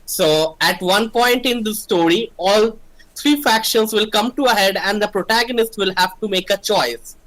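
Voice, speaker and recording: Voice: mid-range voice, moderate pace, fairly bright tone, rough recording. Game writer for a livestream brainstorm, mid-range voice, conversational and explanatory. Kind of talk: casual creative brainstorming discussion.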